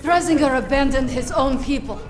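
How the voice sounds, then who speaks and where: A woman's voice: high voice, a little fast, natural voice. An actress playing a cool, vengeful Soviet woman sniper in a war game, terse and defiant, with a Russian accent. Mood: cold resolve. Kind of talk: video game dramatic acting. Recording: loud music and battle noise behind.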